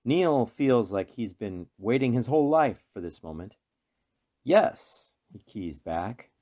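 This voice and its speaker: A man narrating a radio play from a script in a measured, deliberate, dramatic way. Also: mid-range voice, lively intonation, mellow. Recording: faint music behind.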